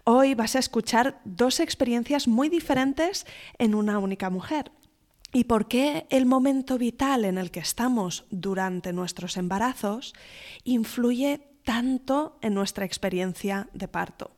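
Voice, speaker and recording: A woman's voice: on the high side, measured pace, darkish tone. Welcoming woman podcast host. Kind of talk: podcast interview.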